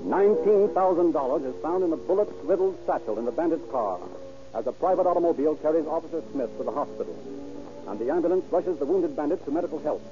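A man's voice: on the high side, animated, fast talker, over music. A man narrating a 1930s radio crime drama with urgent, dramatic delivery. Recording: muffled old radio broadcast with loud hiss.

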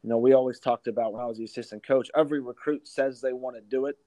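A man's voice: mid-range voice, steady tone, fast talker, warm tone, dry recording. Emphatic male basketball coach. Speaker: college basketball head coach. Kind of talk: interview answers about coaching.

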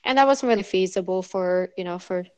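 A woman's voice: fairly low pitch, a little fast, clear, resonant tone. Norwegian-accented woman, chatty clinic owner. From Norway. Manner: chatty, many fillers and restarts, laughs easily. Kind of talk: casual interview answers.

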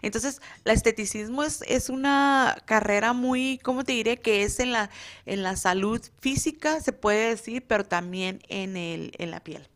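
A woman's voice: a little high, measured pace, a bit bright. Hesitant Spanish-speaking woman, many fillers. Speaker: beauty school instructor. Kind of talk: casual radio interview.

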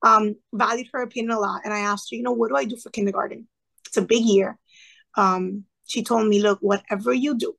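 A woman's voice: mid-range voice, a little fast, creaky, rough recording. A woman in her thirties, a former teacher of Cuban family background, earnestly telling her story in an interview and acting out the people she quotes. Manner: earnest, animated, quoting others in lively asides. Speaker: guest, former classroom teacher. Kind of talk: conversational storytelling.

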